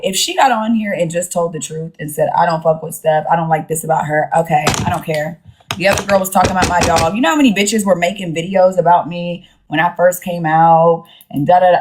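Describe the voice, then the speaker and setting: A woman with a slightly low voice hosts a casual live stream. She speaks bluntly and sassily, leaning on slang and swearing freely. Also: a little mellow, poor audio. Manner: blunt, sassy, profane, slangy. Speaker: livestream host.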